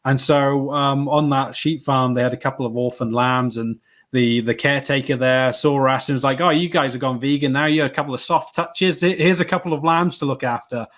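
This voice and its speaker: An easygoing man from Wales answers interview questions at a relaxed conversational pace. His pitch sits in an ordinary middle range, and he tells his stories with wry, self-deprecating humour. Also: brisk. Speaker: podcast guest.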